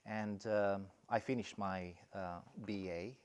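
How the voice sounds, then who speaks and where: A middle-aged man from Romania, a college principal answering interview questions in a thoughtful, halting way with many fillers and restarts. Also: low voice, fairly even intonation, darkish tone, clean tone.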